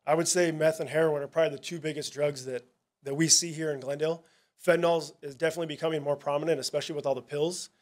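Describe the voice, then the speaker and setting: Casual, halting man presenting to parents. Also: on the high side, fairly even intonation. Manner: casual, halting, many fillers and restarts. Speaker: police narcotics officer. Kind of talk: presentation at a public town hall.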